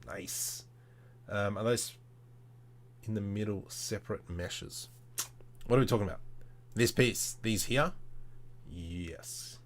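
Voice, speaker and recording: A man with a fairly low voice hosts a relaxed, informal livestream. He thinks aloud in a tutorial style, muttering playfully to himself and addressing viewers as he goes. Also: takes it slowly, long pauses. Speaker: livestream host demonstrating 3D modelling.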